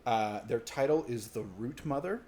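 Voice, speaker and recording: A man narrating a tabletop role-playing game as game master, in halting, conversational descriptions. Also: mid-range voice, animated, darkish tone.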